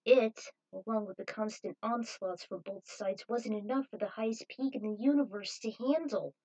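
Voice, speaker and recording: A woman's voice: medium pitch, moderate pace, mellow, poor audio. A woman reading a scripted adventure story aloud as narrator, in a dramatic manner.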